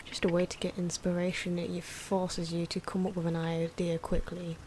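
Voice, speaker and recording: A soft-spoken woman with a fairly low voice talks through her drawing as ASMR. Her delivery is hesitant and unpolished. The recording has clear noise, including the sounds of pencils and markers. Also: completely flat delivery, moderate pace. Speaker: artist narrating own drawing video.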